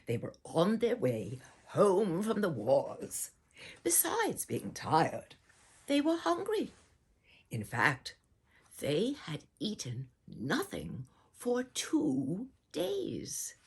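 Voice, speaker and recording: Slow, highly animated woman storyteller. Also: breathy edge. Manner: theatrical, deliberate, addressing young listeners. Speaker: storyteller reading a picture book aloud. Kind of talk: performed children's storybook narration.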